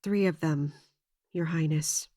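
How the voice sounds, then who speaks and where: A woman playing a loyal mercenary captain in a fantasy audio drama, speaking formally and with measured, respectful defiance. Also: deep voice, dark tone. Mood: guarded, formal. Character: loyal, resolute female mercenary captain serving royalty.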